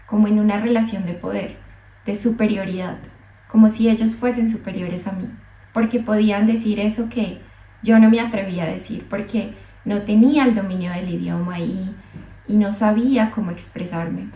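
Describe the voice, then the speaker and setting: A young woman from Colombia, a psychologist, telling her own migration story slowly and thoughtfully, with hesitant restarts. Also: medium pitch, warm tone, recorded from a distance. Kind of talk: reflective personal monologue. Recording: echoey room, voice a bit off mic.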